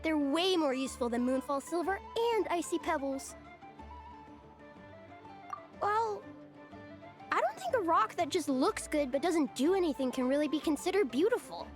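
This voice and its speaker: A woman's voice: high voice, lively intonation, moderate pace, long pauses. A woman voicing a spirited, opinionated little village girl in a video game, childlike and emphatic, with music behind her. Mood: assertive.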